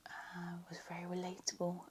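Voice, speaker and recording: A woman whispering a slow, soft ASMR lecture roleplay, her voice slightly croaky. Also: fairly low pitch, completely flat delivery, background noise.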